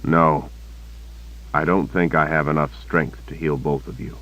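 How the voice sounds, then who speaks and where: A male narrator voicing a resolute young hero and wizard who speaks firmly and gently. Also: extremely low pitch, steady tone, a little slow, lo-fi sound, heavily acted. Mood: gentle. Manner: firm, then gentle and hushed.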